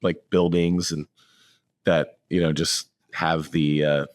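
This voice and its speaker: Hoarse, very low-voiced man telling stories. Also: slow. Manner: animated storytelling, laughs often. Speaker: podcast co-host. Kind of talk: casual chat.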